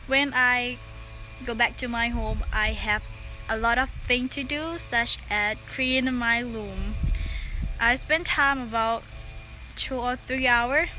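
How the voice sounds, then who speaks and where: A woman who is not a native English speaker, talking casually to camera as a vlogger in simple, halting phrases. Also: fairly high pitch, steady tone, sharp tone, faint music behind, rough recording. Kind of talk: casual vlog talk to camera.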